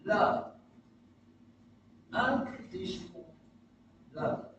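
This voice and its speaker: A woman preaching a sermon with emphatic, dramatic oratory and deliberate pauses. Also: mid-range voice, expressive, muffled recording. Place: church sanctuary during a live service. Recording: very echoey, distant mic, noisy church livestream.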